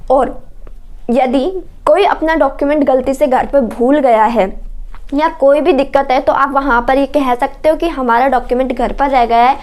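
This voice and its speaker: A woman's voice: high-pitched, a little mellow. A woman explains things to exam candidates in an instructive, reassuring way, repeating points and checking they are understood. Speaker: exam coaching presenter.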